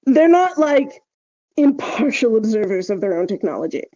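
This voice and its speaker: A woman with a fairly high yet very mellow, soft-edged voice talks through ideas as a podcast host. She is casual and emphatic, leaning on conversational fillers as she explains. The sound is slightly dull and lo-fi. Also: big pitch swings, moderate pace. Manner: emphatic, hedging with frequent "like" and "right?".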